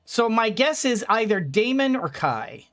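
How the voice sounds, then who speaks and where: A game streamer talks casually at a very slow pace in a middling pitch. The delivery rambles, as if thinking aloud while playing. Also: big pitch swings, a bit bright. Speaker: let's play streamer.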